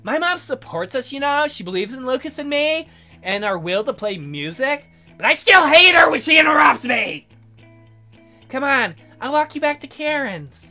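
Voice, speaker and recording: A woman's voice: sits high, sing-song, normal speed, sharp tone, soft background music, voice pushed up, exaggerated voice. A woman voicing a brash, rebellious young punk musician girl in a visual novel, cheeky and exasperated.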